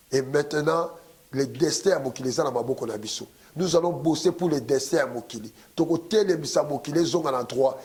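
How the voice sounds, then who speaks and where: A man's voice: on the high side, a little mellow. A man giving a rousing political speech at a conference, impassioned and leading the crowd in call-and-response chants. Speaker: conference speaker.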